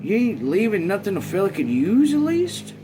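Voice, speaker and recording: A man's voice: high voice, huge pitch swings, normal speed, noisy background, voice pushed up. A man voicing a timid, beaten-down squatter in a novel, hesitant and resigned in folksy speech.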